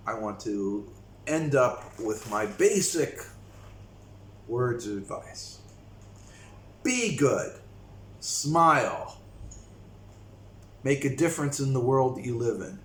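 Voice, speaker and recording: A man from the United States talking slowly and reflectively to his viewers in a casual vlog. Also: medium pitch, animated, fairly bright tone. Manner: slow, reflective, with frequent pauses. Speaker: vlogger. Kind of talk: casual talk to camera. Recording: echoey room with faint noise.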